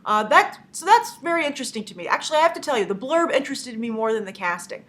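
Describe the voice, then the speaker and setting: Young female movie news host, congested from a cold, tense bright tone, a little high, loose and chatty with frequent fillers. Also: lively intonation, quick pace. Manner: chatty, many "uh" fillers, opinionated. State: stuffy from a cold. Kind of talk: casual news commentary.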